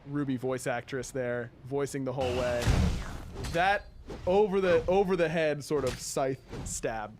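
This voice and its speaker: A man hosting a casual video game showcase, talking in an upbeat, enthusiastic and halting way with music behind him. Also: medium pitch, noisy background. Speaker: livestream host.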